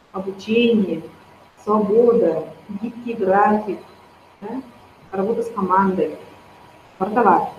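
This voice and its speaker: A woman, persuasively pitching network marketing straight to her viewers. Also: a little mellow, a little hiss or hum. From Yakutia. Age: 30s. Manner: persuasive, addresses viewers directly. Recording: roomy, slightly dull recording.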